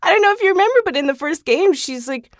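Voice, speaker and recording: A woman talking casually and chattily as an interview guest, telling stories in an animated way. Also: very high-pitched, fast talker, no room sound, clean studio sound. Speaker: podcast guest, video game voice actor.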